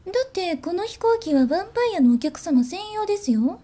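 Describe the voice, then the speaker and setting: A woman voicing a politely teasing zombie bunny flight attendant in a Japanese ASMR voice drama, soft and formal. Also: very high-pitched, very animated, a little fast.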